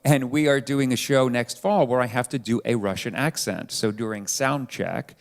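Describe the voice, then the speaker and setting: A middle-aged man casually introducing himself to a live audience, halting and wry as he goes. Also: medium pitch, vocal fry. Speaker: panelist. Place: church panel before an audience. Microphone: live sound microphone.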